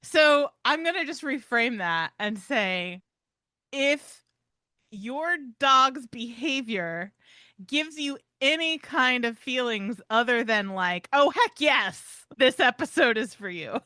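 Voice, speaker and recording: A woman's voice: fairly high pitch, animated, slow, pushed and bright, smooth, no echo, clean studio sound. A woman co-hosting a podcast in a conversational style, with emphatic delivery and casual asides. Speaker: podcast co-host. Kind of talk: conversational pet-behaviour podcast talk.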